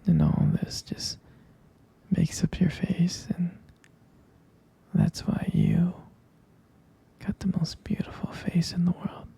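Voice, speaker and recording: A man murmurs an intimate ASMR roleplay in a very husky, breathy whisper. His delivery is slow and halting, with a gravelly crackle running through it. He sounds tender and adoring, like a romantic partner speaking privately. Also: high-pitched, fairly even intonation, mellow.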